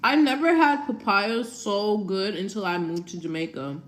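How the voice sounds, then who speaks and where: A woman talking to her camera in a loose, easygoing chat. She gets lively and peppers her speech with "like". Her pitch sits in an ordinary middle range. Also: moderate pace, a little hiss or hum. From United States. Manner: animated, heavy use of "like". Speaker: vlogger. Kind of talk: casual chat.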